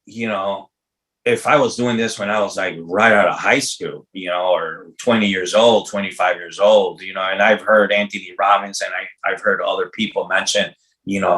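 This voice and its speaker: Low-voiced middle-aged man, casual podcast host. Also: a bit bright, low-quality recording. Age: 50s. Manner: informal, slangy, repeats words as he goes. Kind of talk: casual, rambling interview chat.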